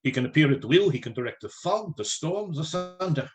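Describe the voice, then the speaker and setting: A man playing a learned, commanding vampire hunter in a radio play, with formal, deliberate oratory. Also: fairly high pitch, animated, soft background music, natural voice. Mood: grave, commanding.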